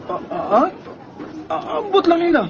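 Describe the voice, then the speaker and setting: An actor playing a friendly, awkward teenage schoolboy in a radio drama, with a hesitant manner and a Cebuano accent. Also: male voice, very high voice, very animated, moderate pace, creaky, highs cut off, a crowd in the background, full character voice. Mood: flustered, persuading. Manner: hesitant, boyish. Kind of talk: Bisaya radio drama acting.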